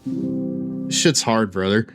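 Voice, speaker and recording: A man's voice: fairly low pitch, slow, over music. A man giving casual live commentary as he streams a game, with an occasional stammer.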